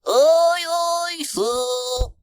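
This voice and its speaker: Lady doing a squeaky little monkey sailor, super eager and chirpy, way up high, kids story voice. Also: extremely slow, exaggerated voice. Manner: high, bright, eager exclamations. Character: eager, busy male monkey first mate, chirpy and obedient.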